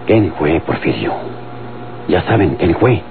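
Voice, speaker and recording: A man's voice: deep voice, animated, slow, vocal fry, slight background noise, exaggerated voice. An actor playing a stubborn, blunt country henchman with a rural Mexican accent in an old radio drama. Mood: insistent, bitter. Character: blunt, stubborn, accusing rustic cowboy henchman, male. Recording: narrow, tinny old radio recording, music behind.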